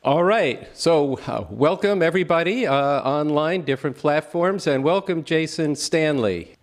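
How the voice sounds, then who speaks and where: A man's voice: fairly high pitch, animated, unhurried. A man hosting a live discussion, introducing the program and guest informally. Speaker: host.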